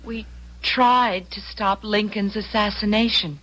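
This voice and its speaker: An actress playing an earnest, excitable young daughter in an old-time radio drama. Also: female voice, medium pitch, takes it slowly, slight background noise, rough recording.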